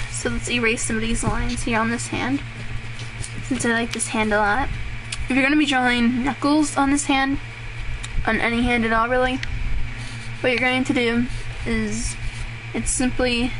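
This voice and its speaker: Rambling young woman with a noisy mic. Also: fairly high pitch. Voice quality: voice turns raspy at times. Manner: rambling and self-deprecating, with frequent fillers and laughs. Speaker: drawing tutorial host. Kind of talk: casual drawing tutorial. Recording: clearly noisy home recording.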